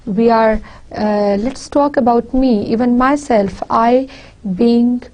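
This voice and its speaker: A woman from Pakistan with a Pakistani accent, a native Punjabi speaker, giving a university lecture deliberately, often repeating and restarting phrases. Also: fairly high pitch, darkish tone, slight background noise, low-quality recording. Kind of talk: recorded lecture.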